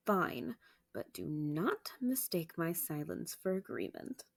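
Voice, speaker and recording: Proud, defiant female vampire for audio roleplay, played by a woman: husky, animated, formal and combative. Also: lowish voice, slow, full character voice. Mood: grudging. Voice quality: raspy, gravelly. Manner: formal, haughty, argumentative. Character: defiant, bitter female vampire warrior, proud former farm girl. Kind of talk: dramatic audio roleplay acting.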